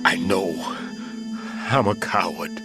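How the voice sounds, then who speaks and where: A deep-voiced older man, a remorseful bomb disposal expert in a game cutscene. He speaks solemnly and deliberately, with loud dramatic music behind him. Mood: anguished, remorseful.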